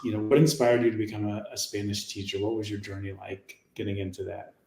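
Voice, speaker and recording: A man's voice: on the low side, flat delivery, fast talker, a little mellow. A man hosting an interview, asking his questions in a friendly, tentative way. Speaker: interview host. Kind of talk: casual interview. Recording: echoey room.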